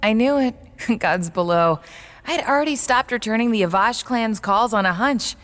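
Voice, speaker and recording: An actress playing a wry confidante of a dark lord in a comedic fantasy audio drama. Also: female voice, fairly high pitch, normal speed, long reverb tail, natural voice. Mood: amused, relieved.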